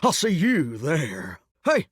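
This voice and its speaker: An actor playing a vain, cocky living mirror in a video game, with theatrical, teasing, swaggering banter. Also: male voice, a little high, huge pitch swings, takes it slowly, slightly rough, no room sound, studio quality, exaggerated voice. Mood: taunting, cocky. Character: vain, cocky male mirror come to life, showboating performer. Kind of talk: video game character acting.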